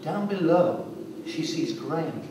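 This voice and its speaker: Male narrator for live story drama, slightly high voice, deliberate delivery, very reverberant and noisy recording. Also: animated. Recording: distant, very echoey hall, clear noise.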